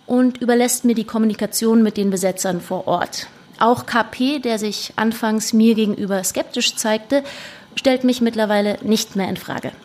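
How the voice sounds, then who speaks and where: A woman's voice: fairly high pitch, lightly acted. An actress playing a determined, suspicious young woman working undercover in a German audio drama, pressing and insistent in manner. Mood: cool, confident.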